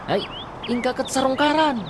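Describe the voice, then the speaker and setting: A man's voice: very high-pitched, normal speed, noisy background. A man with an Ilocano accent playing a teasing, gossipy buddy with a drawl in a radio comedy drama. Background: music.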